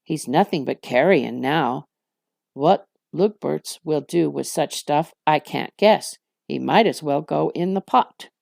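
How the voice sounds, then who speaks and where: A woman's voice: low-pitched, slow pace, gravelly, darkish tone, dry recording, studio quality, pitched below the performer's own voice, exaggerated voice. A woman voicing a sly, gloomy male orc captain from a fantasy storybook, needling and mocking as she speaks. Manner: sardonic, needling.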